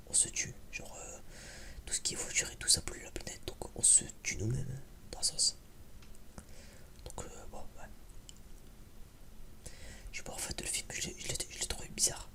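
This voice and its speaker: A man speaks in a breathy whisper, as in an ASMR video. His delivery is casual and rambling, full of hesitations and blunt slang, and the pitch jumps around whenever a bit of voice slips through. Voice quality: breathy whisper, almost unvoiced. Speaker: ASMR YouTuber, film reviewer.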